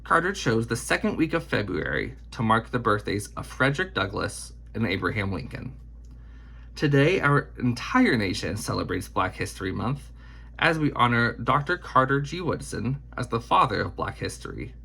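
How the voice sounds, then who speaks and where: Husky male storyteller with lively intonation. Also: mid-range voice, moderate pace. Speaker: narrator reading a children's picture book biography aloud. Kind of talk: expressive read-aloud storytelling.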